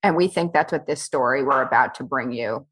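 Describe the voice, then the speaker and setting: A woman's voice: extremely low pitch, fairly quick, a bit bright, breathy edge. A woman hosting a podcast, introducing the show and a guest with a plain, dry delivery. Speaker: podcast host. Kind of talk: casual chat and show introductions.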